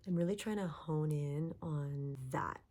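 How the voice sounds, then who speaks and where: A young woman hosting a self-help podcast from her bedroom, her voice partly lost and hoarse, talking in an upbeat, chatty way with lots of "like"s. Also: low voice, unhurried, mellow. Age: young adult. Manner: chatty, upbeat, frequent likes and laughs. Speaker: podcast host.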